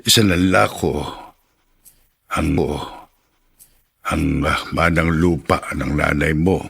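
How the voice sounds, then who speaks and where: Gravelly, low-voiced old Filipino father. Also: slow, a bit bright, heavily acted. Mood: gentle, earnest. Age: older. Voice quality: gravelly, husky. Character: ailing-sounding elderly father, remorseful, resigned, self-sacrificing. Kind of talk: Filipino radio drama acting.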